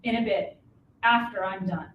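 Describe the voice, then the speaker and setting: A woman's voice: medium pitch, normal speed, narrow, tinny audio, slight background noise, lightly acted. A woman in a dramatic play reading as a sullen, defensive, possessive young man, curt and guarded, in a roomy recording at a distance from the mic.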